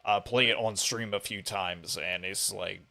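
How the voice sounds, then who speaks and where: A man's voice: lowish voice, fairly even intonation, measured pace, vocal fry, sharp tone. Casual male podcast interviewer. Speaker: podcast host interviewing a guest.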